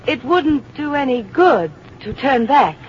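A woman's voice: sits high, big pitch swings, unhurried. A woman acting in an old-time radio drama as a sardonic, plucky American schoolteacher, theatrical and wry in her delivery. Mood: wary, deliberate. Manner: theatrical, wry, talking to herself. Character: sardonic, plucky American schoolteacher driving alone, shaken but defiant. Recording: dull, noisy old radio recording.

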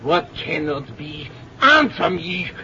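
An actor playing a paranoid, tyrannical German dictator in an old-time radio drama, with a German accent and a barking, clipped, imperious manner. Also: male voice, on the high side, sing-song, takes it slowly. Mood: demanding. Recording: dull, noisy old radio recording.

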